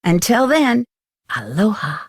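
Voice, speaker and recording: A plucky young female sleuth in a video game. She speaks in an earnest, chatty way at a middling pitch, curious and clear. The sound is close and dry, with no room to it. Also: sing-song, unhurried, no room sound, full character voice. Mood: inviting. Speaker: voice actor as game heroine. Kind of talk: scripted video game dialogue.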